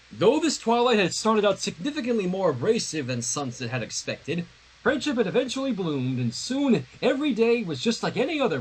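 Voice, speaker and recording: A man hosting a fan fiction reading, expressively narrating the story with casual asides in a slightly husky voice. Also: high voice, a little hiss or hum, rough recording. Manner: expressive, acts out dialogue. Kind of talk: dramatic read-aloud of a story with casual commentary.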